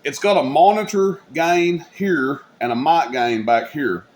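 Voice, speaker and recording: A man's voice: fairly high pitch, measured pace. A man giving a casual, folksy, rambling hands-on demonstration as a hobbyist video host. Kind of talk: casual hands-on product demo. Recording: clear background noise.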